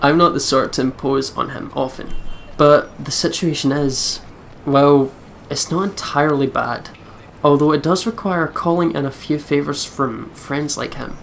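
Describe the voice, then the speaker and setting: A man with a fairly high, gravelly voice reads game narration aloud as a let's play host. His delivery is expressive and breaks into casual commentary, with faint noise behind him. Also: a little slow, hardly any pauses.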